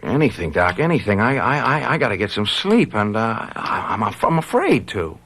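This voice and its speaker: A man's voice: lowish voice, very animated, moderate pace, a bit bright, a little hiss or hum. An actor playing a brash, troubled young college man in a radio drama, with slangy, stammering, defensive speech. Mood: desperate. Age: young adult.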